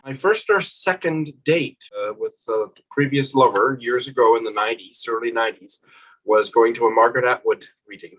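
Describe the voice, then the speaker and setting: A middle-aged man with a husky, slightly breathy voice at an ordinary pitch for a man. He talks about books in a thoughtful, unhurried way, like a relaxed co-host. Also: rough recording. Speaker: booktuber co-host. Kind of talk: casual book chat.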